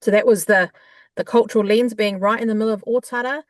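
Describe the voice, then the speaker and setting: A woman with a New Zealand accent, a guest in an interview, talking in a warm, chatty way with frequent fillers. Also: medium pitch, steady tone, quick pace, no room sound, clean studio sound. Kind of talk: conversational interview.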